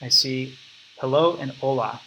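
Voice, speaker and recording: Young man, bright crisp voice, casual product walkthrough narration for a tutorial. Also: mid-range voice, measured pace, a little hiss or hum, rough recording. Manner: casual, step-by-step explaining. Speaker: app developer demonstrating his product. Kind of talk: screen-recorded software walkthrough.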